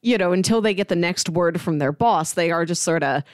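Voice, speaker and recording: A woman's voice: lowish voice, fairly quick, no echo, clean studio sound. A woman co-hosting a film podcast, talking in a casual, conversational way.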